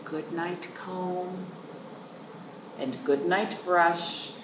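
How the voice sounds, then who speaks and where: A woman hosting storytime for young children, reading aloud in an animated sing-song way with chatty asides to her listeners. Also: fairly low pitch, very slow. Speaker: children's storytime host at a library. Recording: roomy, distant microphone with noticeable background noise.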